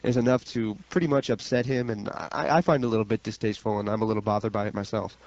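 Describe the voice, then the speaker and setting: A man's voice: on the low side, steady tone, quick pace, darkish tone, dry recording. Man co-hosting radio, dull dry sound. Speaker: radio show co-host. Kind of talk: casual opinionated radio chat.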